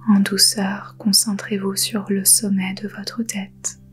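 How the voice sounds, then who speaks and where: Very slow, soft-voiced woman guiding meditation. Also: mid-range voice, slight background noise. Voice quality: soft, breathy, half-whispered. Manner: calm, soothing, unhurried instruction with pauses. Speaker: yoga nidra guide. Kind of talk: guided meditation.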